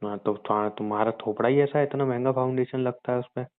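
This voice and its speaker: A man's voice: medium pitch, steady tone, fast talker, clean tone. A young man teasing his girlfriend sarcastically on a phone call while adding up her shopping sums. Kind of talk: teasing scripted phone banter.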